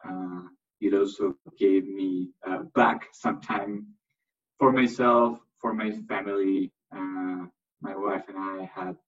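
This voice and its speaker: A man's voice: low-pitched, steady tone, slow, far from the mic, dull audio. A young man from Chile answering interview questions, speaking hesitantly with many fillers and restarts. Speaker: interview guest, MBA graduate.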